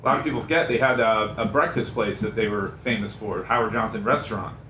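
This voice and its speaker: A man's voice: fairly low pitch, fast talker, pushed and bright. A man on a radio show crew, talking casually in on-air banter. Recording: roomy, off-mic, faint background noise.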